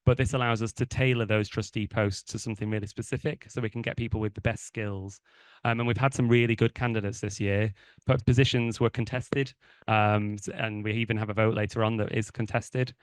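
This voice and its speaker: A board member explaining the election process to a meeting, in a loose way with many fillers and restarts. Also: male voice, fairly low pitch, steady tone, a little fast, clear, resonant tone, no room sound.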